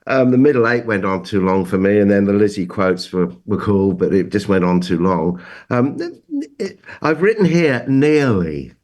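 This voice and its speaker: Lowish-voiced man, understated critic. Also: lively intonation, moderate pace, a little mellow. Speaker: music judge, record producer. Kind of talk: informal music critique.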